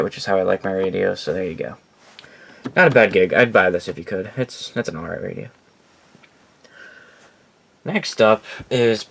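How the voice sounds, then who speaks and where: Male hobby vlogger, slightly low voice, informal unscripted delivery, faint background noise. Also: fairly bright tone. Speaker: hobbyist collector presenter. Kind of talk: casual collection tour vlog.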